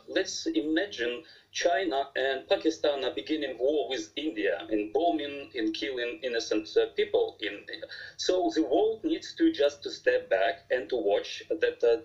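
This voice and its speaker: Guy, diplomat, earnest, muffled lo-fi audio. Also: mid-range voice. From Ukraine. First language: Russian. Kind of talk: debate argument.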